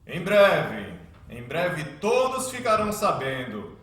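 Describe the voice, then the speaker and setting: High, echoing, fiery priest. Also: male voice, wildly swooping pitch, slow pace, fairly bright tone, full character voice. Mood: triumphant, stern. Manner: pompous, scornful preaching. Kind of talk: religious radio drama acting. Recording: very echoey church-like room, distant.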